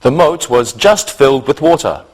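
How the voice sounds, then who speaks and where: A man teaching a scripted English lesson for beginners in a deliberate, instructional way. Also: medium pitch, animated.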